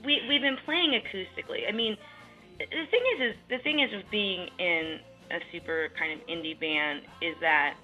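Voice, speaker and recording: A woman's voice: fairly low pitch, moderate pace, pushed and bright. Chatty woman musician, rambling. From New York. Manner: rambling, many fillers and restarts. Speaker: musician in a duo, piano. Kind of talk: casual interview chat. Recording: slightly dull lo-fi sound with faint music.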